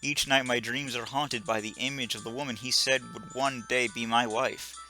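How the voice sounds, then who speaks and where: A man's voice: medium pitch, steady tone, moderate pace, pushed and bright, faint music behind. A man reading the lines of a pompous young male space cadet who daydreams of being captain, stumbling over some words. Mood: self-important.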